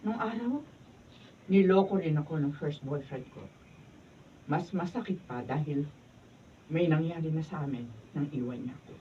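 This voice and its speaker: A woman's voice: low-pitched, normal speed, long pauses, lightly acted. A woman with a Filipino accent acting an assertive, motherly mentor in a radio drama, emphatic and admonishing. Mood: reflective, firm. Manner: emphatic, admonishing, mixes English into Tagalog. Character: assertive, motherly older female mentor, successful professional. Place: radio drama recording session. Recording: distant, noisy, dull camera audio.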